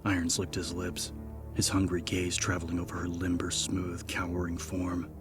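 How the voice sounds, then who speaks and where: A man narrating dramatic horror fiction in a grave, measured manner. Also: sits low, completely flat delivery. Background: prominent background music.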